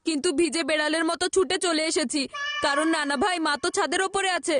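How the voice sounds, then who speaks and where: A woman's voice: high voice, quick pace, noisy background, lightly acted. A woman voicing a mischievous young boy in a Bengali cartoon dub, talking in an eager, pleading way.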